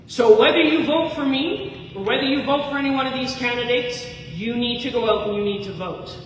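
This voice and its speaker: A woman's voice: on the high side, fairly quick, a little hiss or hum. A woman making an emphatic, pointed campaign speech as an independent candidate at a debate. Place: community hall. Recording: echoey hall, distant mic.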